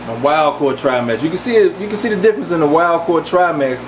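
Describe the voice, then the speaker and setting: Relaxed man narrating over fan hum. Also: a little high, fast. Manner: relaxed, rambling, with many fillers. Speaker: fish breeder giving a tour. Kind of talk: casual walk-through narration. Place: home aquarium room with running fans. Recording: camcorder audio with fan hum.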